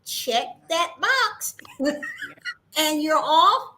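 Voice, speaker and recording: A woman's voice: high-pitched, extremely slow, a little hiss or hum. A woman business owner in a casual chat, teasing her friend and laughing often as she tells stories. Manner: laughing, teasing, animated storyteller.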